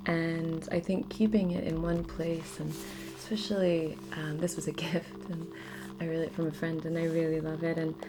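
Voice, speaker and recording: Female spiritual host, lowish voice, gentle and near-whispering, casual guided-ritual delivery with music clearly underneath. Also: little pitch movement, moderate pace, low-quality recording. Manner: soft-spoken, often slipping into near-whisper.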